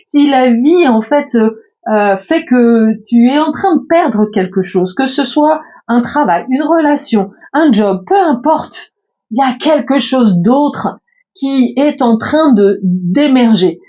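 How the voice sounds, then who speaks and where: Hesitant woman with a mellow, muffled voice. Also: sits high, lively intonation, slow pace. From France. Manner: hesitant, many fillers and restarts. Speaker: spiritual coach. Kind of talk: casual reflective monologue. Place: her bedroom.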